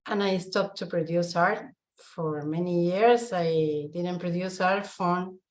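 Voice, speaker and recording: A woman from Argentina with an Argentine Spanish accent, a visual artist giving a halting presentation on a video call, searching for her words in English. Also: lowish voice, fairly even intonation. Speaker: guest speaker, visual artist. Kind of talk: online summit presentation.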